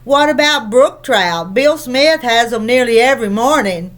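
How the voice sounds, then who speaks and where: A woman voicing a relaxed, mild-mannered father in a children's story. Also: fairly high pitch, moderate pace. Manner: mild, yawning, easygoing.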